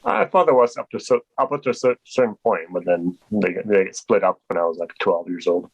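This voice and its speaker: A man's voice: deep voice, expressive, a little fast, vocal fry, breathy edge, no room sound, lo-fi sound. A Polish-born man answering interview questions in a rambling, casual way with many restarts. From Poland. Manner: rambling, full of restarts and fillers. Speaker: guest.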